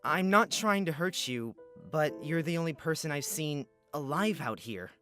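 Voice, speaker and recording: A woman's voice: deep voice, lively intonation, moderate pace, a bit bright, soft background music, pitched below the performer's own voice. A woman voicing an earnest, awkward young man in a game, hesitant and apologetic.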